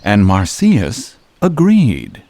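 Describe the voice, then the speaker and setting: An actor playing a theatrical storyteller, giving rhythmic rhyming narration in a radio drama and relishing every word. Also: male voice, fairly low pitch, wildly swooping pitch, extremely slow, mellow, dry recording, exaggerated voice. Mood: wry, measured. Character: theatrical male storyteller relishing a mythic tale.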